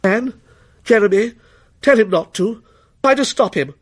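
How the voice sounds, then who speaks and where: Ghost story mother, acted by a man: raised pitch, swooping delivery, anxious, placating, trying to keep control. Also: expressive, a little slow, husky, full character voice. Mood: anxious pleading. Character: anxious wife and mother, placating, self-possessed turning pleading. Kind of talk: dramatic ghost story reading.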